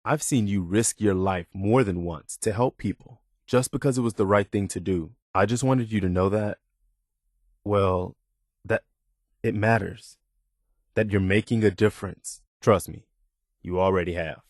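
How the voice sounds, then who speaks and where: An actor playing an earnest, weary militia soldier in a video game, loyal and humble, with a sincere, plain-spoken manner. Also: male voice, fairly low pitch, measured pace, a little mellow, dry recording, studio quality. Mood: heartfelt, sincere. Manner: sincere, plain-spoken, hesitant when opening up. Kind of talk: video game character dialogue.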